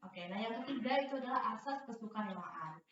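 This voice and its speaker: A woman's voice: on the high side, lively intonation, a little fast. Young woman leading a group session, echoey room. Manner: many hesitant fillers, keeps checking understanding. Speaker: group counseling leader in a practice session. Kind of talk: classroom-style instruction. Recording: echoey room, distant dull mic, faint noise.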